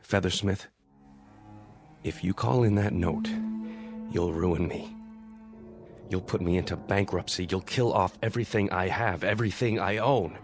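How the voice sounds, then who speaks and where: A man's voice: low voice, a little slow, long pauses, loud music behind the voice. An actor playing a stern, embittered old businessman in an audio drama, speaking formally with precise, disdainful diction. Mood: alarmed.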